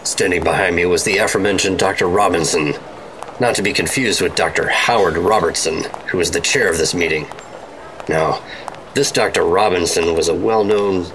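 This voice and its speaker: An American man playing a dry, unflappable 1950s secret agent, narrating and talking in a measured noir radio drama. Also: low voice, noisy background. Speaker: narrator and lead actor. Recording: music under the voice.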